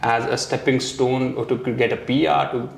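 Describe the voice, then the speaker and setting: A man from India hosting a casual interview, friendly and halting, with many fillers. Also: mid-range voice, little pitch movement, gravelly. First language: Hindi. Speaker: host. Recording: echoey room with faint ambience.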